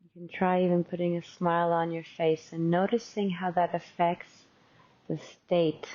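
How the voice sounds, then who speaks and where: A woman teacher leading a guided meditation in a gentle, unhurried, conversational and softly instructive way. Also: on the low side, flat delivery, dull audio.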